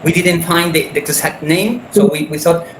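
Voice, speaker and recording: A man sharing a personal story in casual conversation, speaking haltingly with frequent restarts over a video call. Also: on the high side, fairly even intonation. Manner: halting, many restarts and repeated words. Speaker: meeting participant. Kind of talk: casual conversation in English as a second language. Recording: echoey room with background noise.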